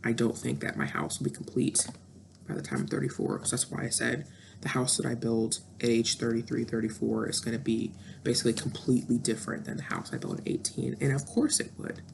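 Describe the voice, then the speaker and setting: Gravelly, candid woman in her thirties. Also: a bass voice, big pitch swings, a little fast, darkish tone, a little hiss or hum. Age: 30s. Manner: candid, introspective, with frequent fillers. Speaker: vlogger. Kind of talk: reflective personal monologue.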